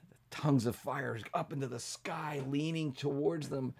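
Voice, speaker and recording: A man telling a children's bedtime story as a pastor, building it up dramatically from hushed to emphatic. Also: mid-range voice, steady tone, moderate pace, gravelly, slightly rough.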